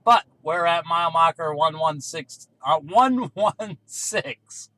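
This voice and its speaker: A man's voice: fairly high pitch, animated, takes it slowly, sharp tone, low-quality recording. A man making casual radio calls, repeating call signs and numbers as a hobbyist would. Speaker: CB radio hobbyist running a range test.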